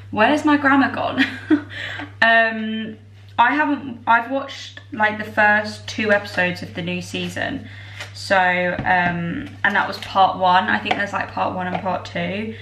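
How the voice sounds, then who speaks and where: A young woman in her twenties, tired and a little croaky, chatting in a bubbly way to her camera while she bakes in her home kitchen. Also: medium pitch, sharp tone, a little hiss or hum. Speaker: vlogger. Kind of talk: casual chat. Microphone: far camera. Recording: echoey kitchen from far off.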